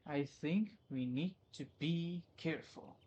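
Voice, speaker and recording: A gamer giving brief, low-key, casual commentary over gameplay. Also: measured pace, muffled recording. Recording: quiet voice under loud game music. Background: loud game music.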